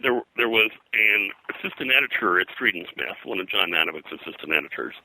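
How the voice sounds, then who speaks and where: A man's voice: sits low, animated, fast, vocal fry, pushed and bright, no room sound, poor audio. An American man speaking as an interview guest, a pop culture historian telling detailed anecdotes in a rambling, digressive way.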